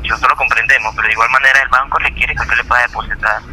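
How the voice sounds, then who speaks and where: A man with a Panamanian accent working as a debt collection agent on the phone, formal, repetitive and halting. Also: medium pitch, steady tone. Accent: Panamanian Spanish. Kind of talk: customer service phone call. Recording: sharp, slightly noisy telephone line.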